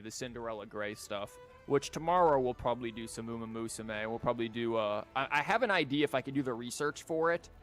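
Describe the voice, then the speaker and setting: A man's voice: mid-range voice, fairly bright tone. A man livestreaming a game, chatting casually in a rambling, wry and sarcastic way. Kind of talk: casual chat and commentary while streaming a game. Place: live stream from an indoor setup. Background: game music.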